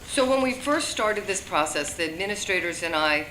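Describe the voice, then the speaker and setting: A woman's voice: on the low side, animated, normal speed, bright, forceful tone. A woman walking a committee through a budget at a public meeting, chatty and correcting herself with fillers. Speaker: school budget administrator. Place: meeting room. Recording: echoey room, background noise.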